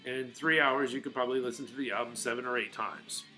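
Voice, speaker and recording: A middle-aged man talks to his viewers in a loose, rambling way, full of restarts and filler words. His voice is very bright and forward, set at a middle pitch. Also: steady tone, fast talker, faint music behind, poor audio. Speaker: vlogger. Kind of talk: casual rambling monologue to viewers.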